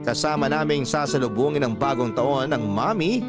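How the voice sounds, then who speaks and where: A man's voice: mid-range voice, moderate pace, music in the background, lightly acted. A man with a Filipino accent narrating an aggrieved young wife's letter in a dramatic scripted style for radio drama. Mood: resigned.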